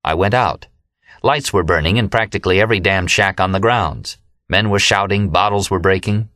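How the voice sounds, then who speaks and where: A man with a low-pitched American voice narrates a novel in the first person. The recording is dry and close, with very little room sound. He reads from a script, as an audiobook narrator does. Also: moderate pace, sharp tone, no room sound.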